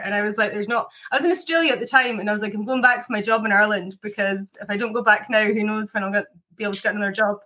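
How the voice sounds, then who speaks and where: A woman's voice: on the high side, bright, forceful tone. A woman from Scotland, now a whiskey maker, chatting in an interview over a video call, full of "like" fillers and laughter. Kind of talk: casual interview chat.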